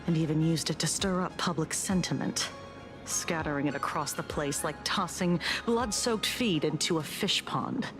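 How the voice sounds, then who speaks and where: Low-voiced, by-the-book woman acting a investigator. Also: moderate pace, close to an everyday voice. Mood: frustrated. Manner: formal, exasperated, precise. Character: serious, by-the-book female investigator partner. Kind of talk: video game voice acting. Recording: music behind the voice.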